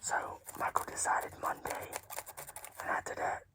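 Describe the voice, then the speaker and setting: A woman whispering a personal story in a hushed, tearful, casual way, swearing freely. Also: measured pace.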